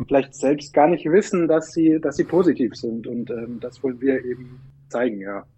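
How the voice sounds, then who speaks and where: A man from southern Germany answering interview questions informally, halting often as he searches for words. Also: mid-range voice, fairly even intonation, dark tone, breathy edge. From Munich, Germany. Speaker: interview guest, newsletter co-founder. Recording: dry, slightly dull lo-fi sound.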